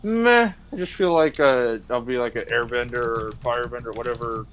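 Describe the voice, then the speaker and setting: A young man in his twenties leads a loose, joking chat with his tabletop group. His voice sits at an ordinary middle pitch, and he trades quick banter with his friends. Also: a bit bright. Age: 20s. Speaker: dungeon master. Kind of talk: casual chat before a tabletop game stream.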